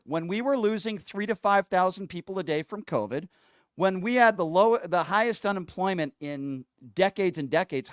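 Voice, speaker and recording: High-voiced, combative male talk radio host. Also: a bit bright, no echo, clean studio sound. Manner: combative, scolding, sarcastic. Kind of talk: political call-in talk show commentary.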